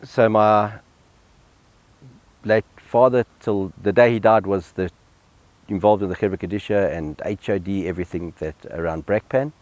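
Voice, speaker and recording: A man's voice: on the low side, fairly even intonation, normal speed, a little mellow, no echo. A middle-aged man, telling his family history in an interview at home, with many ums and restarts. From South Africa. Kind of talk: relaxed personal reminiscence.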